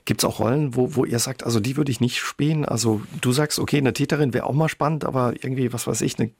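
A man hosts an interview, asking his guests casual, conversational questions. His voice sounds gravelly and husky. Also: mid-range voice, fast, no echo. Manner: conversational, informal address.

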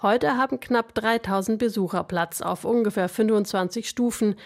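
A woman reads a scripted radio feature as a reporter. Her voice sits at a middle pitch and has a noticeable creak in it. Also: moderate pace.

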